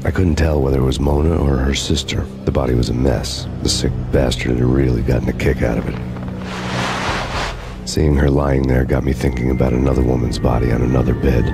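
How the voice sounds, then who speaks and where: An actor playing a bitter, world-weary hardboiled detective who narrates his downfall in deadpan noir style in a video game. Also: very deep voice, fairly quick, over loud music. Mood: grim.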